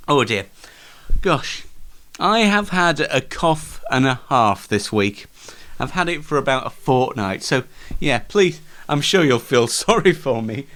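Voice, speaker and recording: A man hosting a comedy show in a casual, self-deprecating and halting way. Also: mid-range voice, fairly bright tone. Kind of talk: casual, scripted comedy interview and chat.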